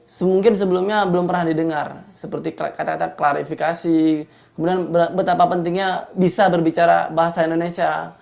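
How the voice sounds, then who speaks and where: Radio guy, kinda high voice with lots of fry, pretty flat. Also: a little fast. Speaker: community radio announcer. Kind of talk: radio presenting and explanatory talk.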